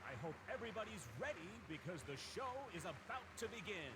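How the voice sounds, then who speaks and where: An actor playing a grand, enthusiastic male theater announcer in a video game, over loud ambience. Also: sits high, sing-song, fast talker, background noise.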